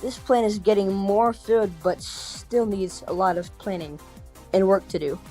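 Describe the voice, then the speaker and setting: A woman's voice: medium pitch, steady tone, moderate pace, dark tone, soft background music, natural voice. A woman voicing a thoughtful, critical, bookish animal strategist in an amateur comic dub. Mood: considered, critical.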